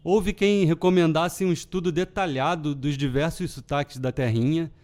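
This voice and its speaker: Male podcast host, Carioca accent with a European Portuguese lilt, fairly high voice, deliberate scripted reading. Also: steady tone, a little mellow. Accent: Rio de Janeiro Portuguese with European Portuguese touches. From Brazil. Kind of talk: scripted literary podcast narration.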